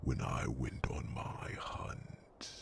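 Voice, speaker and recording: A man voicing an ancient, solemn, brooding fantasy creature in an ASMR roleplay, whispering slowly and formally with pondering hums. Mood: grave musing. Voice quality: very deep, gravelly, breathy whisper.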